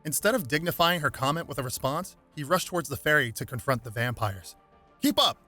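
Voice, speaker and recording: A man's voice: medium pitch, lively intonation, fast talker, sharp tone, faint music behind. A man reading a superhero story aloud as scripted dramatic narration.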